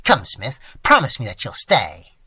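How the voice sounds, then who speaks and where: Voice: mid-range voice, wildly swooping pitch, measured pace, sharp tone, husky, no echo, clean studio sound, voice pushed down, full character voice. A narrator voicing an irascible older male painter who rants and craves quarrels, with an explosive, barking delivery, in a story reading. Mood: gleeful, eager.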